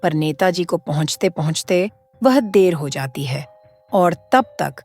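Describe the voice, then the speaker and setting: A woman narrates a cartoon horror story with a lively, expressive delivery. Her pitch moves a lot, and faint music plays behind her. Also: measured pace.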